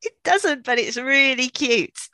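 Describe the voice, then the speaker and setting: A woman's voice: high voice, a little slow, pushed and bright, no room sound. A woman co-presenting a sponsor appeal in playful, mock-dramatic scripted banter.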